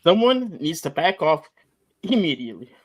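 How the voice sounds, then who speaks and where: Male podcast host, slightly higher voice, teasing and playful. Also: sing-song, normal speed. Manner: joking, teasing. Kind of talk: casual podcast chat.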